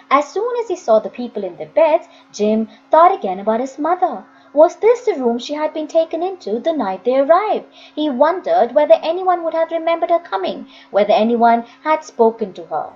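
A woman reading a story aloud as a storyteller, with deliberate, dramatic phrasing. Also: sits high, darkish tone, dull audio. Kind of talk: expressive scripted audiobook reading.

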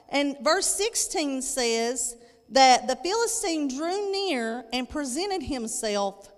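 A woman in her thirties preaching a sermon, reading scripture and explaining it emphatically, repeating phrases for weight. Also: on the high side. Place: church stage indoors, at a lectern. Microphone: handheld microphone. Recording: echoey hall with long reverb.

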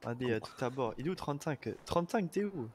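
A man plays a police officer in improvised game role-play. His voice is mid-pitched and conversational, and he argues his point insistently. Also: animated, quick pace, crowd noise.

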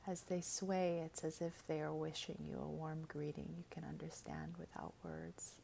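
A woman reading a scripted guided meditation in a gentle, soothing, measured way, with soft, breathy phrase endings. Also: low voice, a little hiss or hum.

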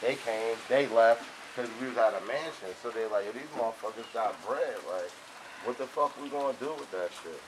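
A young man telling boastful, animated street stories in casual, profane slang. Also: mid-range voice, brisk. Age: young adult. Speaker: storyteller. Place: outdoors on a covered house porch. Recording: rain and outdoor ambient noise.

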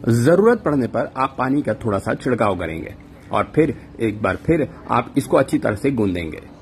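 Male cooking host, instructional voice-over, lively intonation, slight background hiss. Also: mid-range voice, normal speed. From Pakistan. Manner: instructional, step-by-step, mixes English words. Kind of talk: voice-over recipe narration.

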